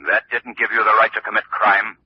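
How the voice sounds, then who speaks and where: An actor playing an unseen, righteous vigilante who declaims slowly and ominously in an old radio thriller. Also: male voice, on the low side, flat delivery. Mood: stern, accusing. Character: unseen vigilante avenger, menacing, commanding, righteous man. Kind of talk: 1940s radio thriller acting. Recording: muffled, husky old-time radio recording.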